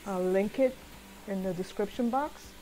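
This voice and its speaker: A middle-aged woman calmly talking through her cooking steps in a chatty home tutorial voice-over. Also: mid-range voice, unhurried, a little hiss or hum, poor audio. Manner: relaxed, chatty step-by-step explaining. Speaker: home cook.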